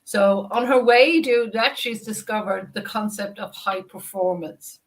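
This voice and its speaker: A woman's voice: medium pitch, measured pace, rough recording. An Irish woman hosting a business interview show, upbeat and effusive as she introduces her guest over a video call. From Ireland. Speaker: host.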